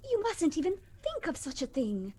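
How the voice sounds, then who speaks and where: An actress playing an affectionate, earnest young daughter in a Victorian audio play. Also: female voice, high voice, huge pitch swings, moderate pace, warm tone, slight background noise. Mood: distressed. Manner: eager, earnest. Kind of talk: audio play acting, old radio drama style.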